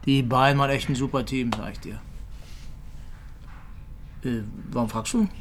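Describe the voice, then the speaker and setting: An actor playing a down-to-earth police detective in a naturalistic crime drama, talking in a colloquial, informal way. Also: male voice, mid-range voice, steady tone, moderate pace, a little hiss or hum, lightly acted. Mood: matter-of-fact, explaining.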